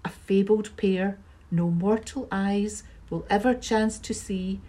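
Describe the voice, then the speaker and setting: A woman reading a poem aloud in a slow, measured recitation. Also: mid-range voice, fairly even intonation, mellow. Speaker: library staff member reading a poem. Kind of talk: poetry reading.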